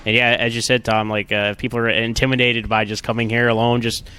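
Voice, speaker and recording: A man's voice: mid-range voice, level tone, quick pace, bright, forceful tone, slight background noise. A man speaking as a podcast guest in casual, enthusiastic conversation.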